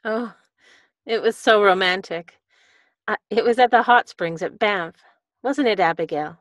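An older woman acting in a radio drama as a smooth-talking Edwardian lady con artist, gushing and breezy. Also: mid-range voice, unhurried, no echo, studio quality, close to an everyday voice. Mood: romantic gushing. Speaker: radio play actor. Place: indoor room on a video call.

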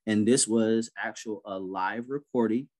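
A man's voice: fairly low pitch, measured pace. A man in his thirties hosting an online prayer event, speaking casually with many fillers over a webcam. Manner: relaxed, many fillers and restarts. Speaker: event host. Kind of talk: casual moderating on a video call. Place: indoor room on a video call. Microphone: webcam on a video call.

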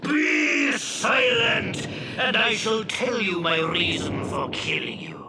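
A man voicing an enormous, wrathful genie in a storybook, with a booming, gravelly voice and slow, theatrical bellowing. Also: very animated, very noisy, exaggerated voice. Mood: furious. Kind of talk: children's storybook character voice. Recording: echoing, distant-sounding reverberant voice.

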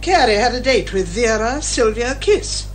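Casting: actress as headstrong older British woman sleuth, high-pitched and emphatic, for radio comedy. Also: expressive, normal speed, a little hiss or hum, natural voice. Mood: explaining keenly. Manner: insistent, emphatic, articulate. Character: headstrong older female mystery writer turned amateur sleuth. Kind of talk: radio detective comedy acting.

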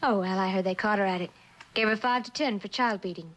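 A woman acts a streetwise young woman from a vintage television suspense drama. Her voice sits at an average pitch. She talks bluntly in street slang, tough and defiant, with a wisecracking edge. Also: quick pace, fairly bright tone, natural voice. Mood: flippant.

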